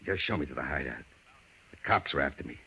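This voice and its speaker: An actor in an old-time radio drama playing a surly, bossy gangster on the run, with curt, contemptuous, slangy tough talk. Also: male voice, rumbling low, animated, fast talker, vocal fry, bright, forceful tone, exaggerated voice. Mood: gruff, wary. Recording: dull lo-fi old radio recording.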